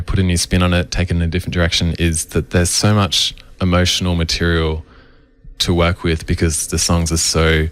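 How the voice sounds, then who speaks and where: A man's voice: low voice, clear, resonant tone. A man, an arranger explaining his approach to songs in an earnest, thoughtful interview. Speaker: musical arranger.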